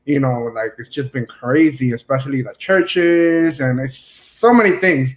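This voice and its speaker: A man's voice: medium pitch, measured pace. A man hosting a casual online interview, rambling with lots of fillers. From Dominican family, US. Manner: rambling, many fillers like "you know". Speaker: podcast host.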